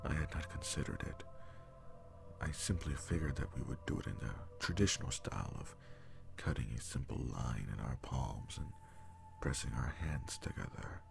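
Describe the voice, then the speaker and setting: A man playing a powerful, devoted demon lover in an intimate roleplay audio drama, speaking formally in a breathy, gravelly half-whisper. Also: very deep voice, expressive, heavily acted. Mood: curious, hushed. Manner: slow, formal, archaic phrasing. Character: powerful male demon, devoted, jealous lover bound to a sorcerer. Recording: music behind the voice. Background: music.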